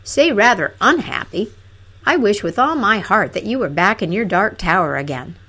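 A woman voicing a cross, selfish young gentleman in a fairy tale, curt and grumbling. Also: medium pitch, normal speed, lightly acted, poor audio. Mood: angry. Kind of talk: fairy tale storybook character.

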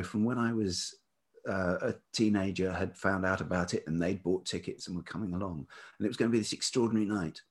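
A middle-aged man with a velvety voice, telling stories reflectively and hesitantly as an interview guest, often repeating words as he searches for the next. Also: low-pitched. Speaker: interview guest, writer and musician. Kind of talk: reflective conversational storytelling.

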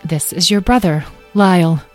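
Woman playing a proud, forceful mother beast. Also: fairly low pitch, moderate pace, soft background music, close to an everyday voice. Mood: careful, formal. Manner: crisp, forceful. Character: proud, strained mother creature, quick to roar.

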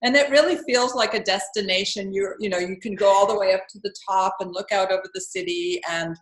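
A middle-aged woman from Finland, an architect answering interview questions in a thoughtful, measured way. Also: mid-range voice. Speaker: architect and dean, interview guest. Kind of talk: relaxed reflective interview answers. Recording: roomy, echoey sound.

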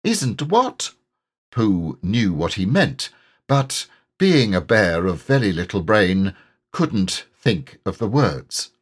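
A man reads a children's story aloud at an unhurried pace. His pitch is medium and very animated, with a slightly husky edge to the narration. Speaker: audiobook narrator and voice actor.

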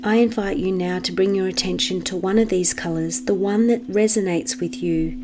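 A woman leading a guided meditation for children in a gentle, measured manner with long pauses. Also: medium pitch, fairly even intonation, noisy background.

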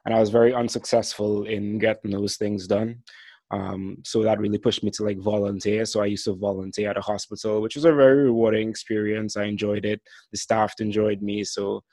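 A man's voice: on the low side, flat delivery, brisk, warm tone, no echo. A man in his twenties from Trinidad and Tobago, hosting a solo podcast and telling his own career story in an earnest, reflective way. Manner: some lines read from a script. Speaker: podcast host.

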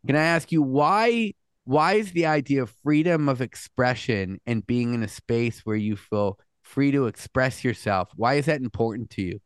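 A man's voice: medium pitch, normal speed, smooth, no room sound, clean studio sound. A man hosting a podcast interview, earnest and chatty, with frequent restarts and "mm" sounds. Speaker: podcast host. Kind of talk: casual interview chat.

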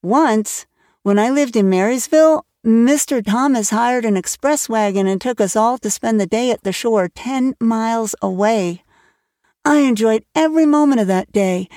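A woman's voice: a little high, moderate pace, darkish tone, no echo, clean studio sound, voice pushed up, natural voice. A woman voicing an eager, chatty orphan girl who gushes in a breathless, wide-eyed way. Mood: rapturous.

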